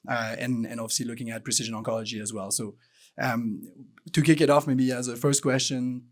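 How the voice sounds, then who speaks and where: A man's voice: medium pitch, flat delivery, a little fast. A man moderating a business panel, posing questions with many restarts. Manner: hesitant, repeats words. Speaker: panel co-chair.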